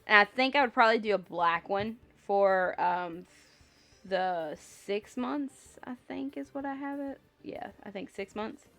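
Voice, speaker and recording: A woman's voice: medium pitch, measured pace, fairly bright tone. A woman hosting a casual livestream, rambling and thinking aloud as she talks to viewers, sounding slightly congested. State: slightly congested with a lingering cough. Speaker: livestream host. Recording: music behind the voice. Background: music.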